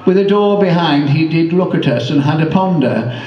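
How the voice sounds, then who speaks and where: A man performing his own comic rhyming poem as a measured storyteller. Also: on the high side, animated, dull audio. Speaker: poet performing own work. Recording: echoey room, distant microphone.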